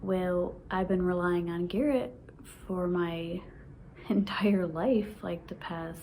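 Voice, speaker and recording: A woman's voice: lowish voice, slow. Girl in her 20s vlogging, stutters a bit, laughs a lot, faint background noise. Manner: stutters, with repeated sounds and restarts; excited, laughs often. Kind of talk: casual vlog chat.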